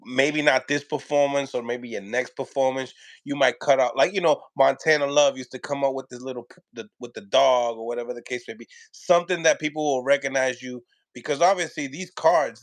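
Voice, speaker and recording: A man's voice: mid-range voice, a little fast. Casual male boxing interviewer. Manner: relaxed, chatty, agrees with short "facts".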